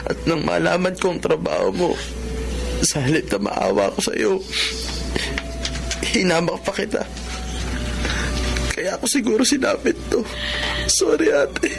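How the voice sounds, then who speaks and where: A remorseful young man speaks from a hospital bed in a Filipino radio drama. His voice is high and creaky, halting and apologetic, with music underneath. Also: animated, exaggerated voice, low-quality recording. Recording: music behind the voice.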